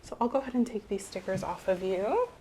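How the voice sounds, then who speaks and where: A soft-spoken woman playing a doctor in an ASMR exam roleplay, methodically asking questions in a reassuring way that often trails off quietly. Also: medium pitch, big pitch swings, brisk, a little hiss or hum. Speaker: ASMR creator playing a doctor taking a medical history. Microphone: clip-on mic.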